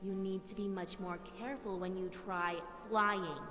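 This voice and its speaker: A woman voicing a sinister, condescending nurse pony in an audio drama, speaking deliberately with false sweetness. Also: medium pitch, steady tone, bright, forceful tone, lightly acted. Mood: quiet menace. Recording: echoey long reverb, faint music.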